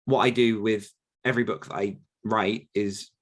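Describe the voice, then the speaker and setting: A man's voice: fairly low pitch, fairly even intonation, moderate pace. A man, answering listener questions on a podcast in a rambling, self-correcting way. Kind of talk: casual Q&A chat.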